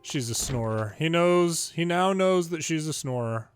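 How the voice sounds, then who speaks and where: A man reading story dialogue aloud from a video game in a playful way, switching pitch between characters and adding his own commentary. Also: animated, a little slow, clean tone. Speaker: longplay host reading a game's dialogue aloud. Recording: music behind the voice. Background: game music.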